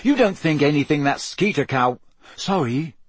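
A man's voice: on the high side, animated, moderate pace, husky, no room sound, pitched above the performer's own voice. A man voicing an earnest, loyal teenage boy who speaks urgently.

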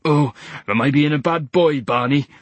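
A bitter, paralysed former pilot snarls and sneers from his hospital bed, as a male narrator voices him. His husky voice is slow and raw. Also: fairly high pitch, dry recording, lightly acted.

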